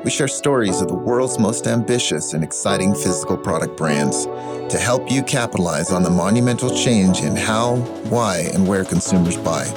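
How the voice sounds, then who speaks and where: A man who hosts a podcast, reading its scripted opener in a measured announcer way. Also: deep voice, expressive. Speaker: podcast host. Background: loud music.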